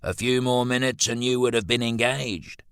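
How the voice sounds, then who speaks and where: A man's voice: mid-range voice, fairly even intonation, normal speed, fairly bright tone, clear, resonant tone, no room sound, clean studio sound, pitched above the performer's own voice, close to an everyday voice. A man as a wry, breezy male comrade. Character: cheeky, casual male communist friend, wry and brisk.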